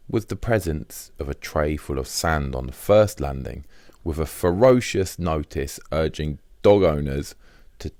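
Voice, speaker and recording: A man's voice: rumbling low, a little mellow, dry recording, clean studio sound. A man reading a first-person diary as scripted audiobook narration.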